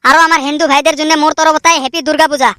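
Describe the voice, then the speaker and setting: A woman's voice: sits high, little pitch movement, rapid-fire, pushed and bright, no room sound, clean studio sound. A woman voicing cheeky, bantering cartoon characters and hosting her channel. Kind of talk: cartoon comedy voice acting.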